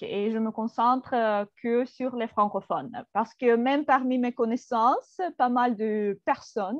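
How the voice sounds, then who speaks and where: Russian-native woman talking french really slow, higher voice, dull dry sound. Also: no room sound. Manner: slow, careful, hesitant with fillers. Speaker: Russian teacher, guest. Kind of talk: interview answers in a second language.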